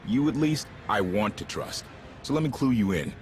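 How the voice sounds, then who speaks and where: A man as a cocky rogue with lively low voice. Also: normal speed, soft background music, close to an everyday voice. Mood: brash. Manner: casual, brash. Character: cocky, streetwise male rogue pilot. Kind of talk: video game voice acting.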